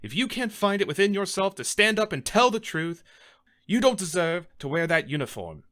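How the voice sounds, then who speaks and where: A man's voice: high-pitched, big pitch swings, a bit bright. Man acting a grave, stern starship captain. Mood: firm, stern. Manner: measured, authoritative, grave. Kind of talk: dramatic science-fiction monologue.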